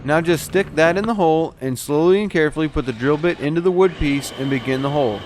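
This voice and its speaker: A man's voice: on the high side, fast talker, darkish tone, slight background noise. A man narrating a step-by-step boat repair how-to as the show's technician host. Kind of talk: explanatory how-to voice-over narration.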